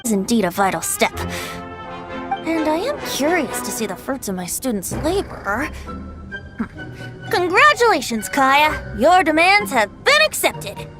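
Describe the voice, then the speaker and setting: A woman playing a playful, wily boyish bard in an anime video game, with theatrical, teasing, drawn-out phrasing. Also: high-pitched, huge pitch swings, full character voice. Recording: voice over game music.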